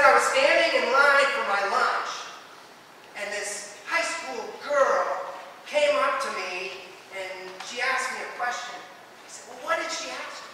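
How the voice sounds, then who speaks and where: An older man preaching a church sermon as pastor, with an emphatic, theatrical delivery and dramatic pauses. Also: extremely high pitch, bright, forceful tone. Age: older, 60s or above. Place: large stone church, at a pulpit. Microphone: gooseneck pulpit microphone. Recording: echoey church hall, distant mic, faint noise.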